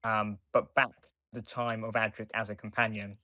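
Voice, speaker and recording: A man co-hosting a casual podcast discussion, explaining in a halting, rambling way with restarts. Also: lowish voice, level tone, sharp tone, dry recording, studio quality. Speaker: podcast co-host.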